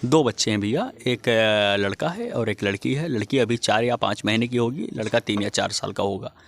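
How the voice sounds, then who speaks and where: A young man from Uttar Pradesh speaks in an interview as if making a formal appeal. His voice sits at a middle pitch. He is earnest and halting, often reaching for filler words. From Uttar Pradesh, India. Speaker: victim's younger brother giving a statement. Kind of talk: on-camera interview statement.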